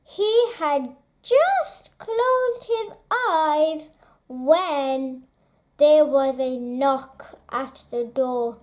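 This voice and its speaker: A woman with a high voice reads a children's bedtime story aloud. Her delivery is very slow and expressive, with pitch that rises and falls in a lively way.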